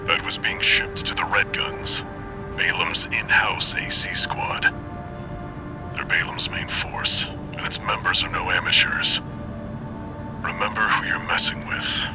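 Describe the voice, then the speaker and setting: A stern older male handler from a mech game, speaks low in a gravelly, breathy murmur. His delivery is clipped and guarded, swinging in pitch under heavy music. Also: wildly swooping pitch. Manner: terse, measured, understated. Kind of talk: video game voice acting, mission radio. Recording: loud game music behind. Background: loud music.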